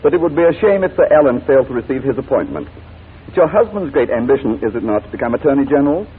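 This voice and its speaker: Guy acting as smooth villain blackmailer in 40s radio drama, quick polite threats, tinny noisy old recording. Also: mid-range voice, sing-song, natural voice. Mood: coolly threatening. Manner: formal, smooth, mockingly courteous. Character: suave wicked male blackmailer, coolly polite and menacing.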